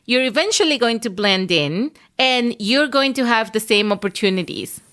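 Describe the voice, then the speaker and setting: A woman's voice: on the high side, lively intonation, moderate pace, fairly bright tone. A woman from Venezuela hosting a podcast interview, explaining earnestly and often checking in with "right?". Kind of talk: conversational interview.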